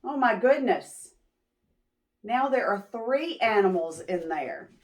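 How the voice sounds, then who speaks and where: A woman reading a picture book aloud to young children, expressively, pausing to ask them questions. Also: mid-range voice. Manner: expressive, drawn-out words, teacherly prompting. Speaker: children's read-aloud storyteller.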